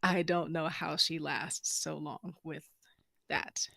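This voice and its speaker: A woman's voice: low-pitched, level tone, takes it slowly, gravelly, bright, forceful tone, dry recording. A woman who works as a voice actor, talking casually as a guest in an after-show chat.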